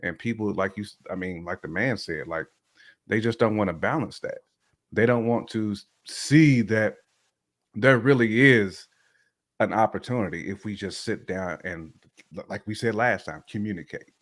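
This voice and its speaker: Southern guy co-host, chill and slangy, kinda low voice, lots of "like". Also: normal speed. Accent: Southern American. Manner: laid-back, uses slang, says "like" often. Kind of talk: casual podcast chat.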